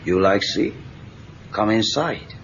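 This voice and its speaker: A man's voice: lowish voice, lively intonation, slow pace, a little hiss or hum. An actor playing a wise, terse old Okinawan handyman with a Japanese accent and clipped broken English in an audio story. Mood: calm, instructive. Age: older. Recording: dull cassette tape with music.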